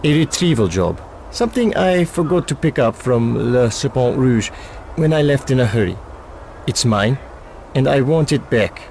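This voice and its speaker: A guarded underworld fixer in a game cutscene, curt and low-key. His voice sits at an ordinary male pitch, with a faint hum of traffic behind it. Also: lively intonation, moderate pace, gravelly, darkish tone. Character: shady middle-aged male fixer, guarded. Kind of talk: video game cutscene acting.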